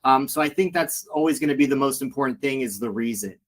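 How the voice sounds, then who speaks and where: A man's voice: mid-range voice, fast. A man speaking on a panel as an educator, frank and casual, with many fillers. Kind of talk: panel discussion.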